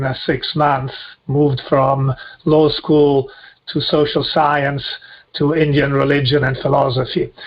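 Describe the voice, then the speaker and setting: A man in his sixties from Rome, with an Italian accent, giving reflective interview answers in halting, deliberate phrases. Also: medium pitch, muffled recording. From Rome, Italy. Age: 60s. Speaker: guest.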